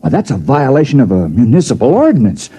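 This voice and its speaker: A reluctant small-time official in an old radio drama. He is husky and mellow, swooping widely in pitch as he talks in a folksy, hesitant way. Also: wildly swooping pitch, quick pace, heavily acted. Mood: hesitant, doubtful. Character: easygoing, hesitant male local official, reluctant to make trouble. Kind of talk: 1950s radio drama acting. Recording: dull lo-fi vintage radio.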